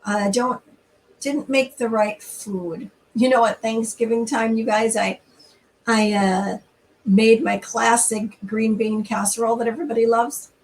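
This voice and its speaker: A middle-aged woman hosting a church storytime, talking informally and reading aloud in an expressive, conversational way straight to her listeners, recorded slightly away from the mic. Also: fairly high pitch, unhurried, recorded from a distance, low-quality recording.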